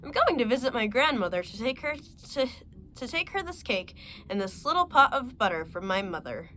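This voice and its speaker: An innocent little girl from a storybook chatters away in a lifted, eager voice, as a woman voices her. She sounds trusting and wide-eyed, stretching her words out slowly. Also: a little high, very animated, pitched above the performer's own voice. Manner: bright, naive and eager.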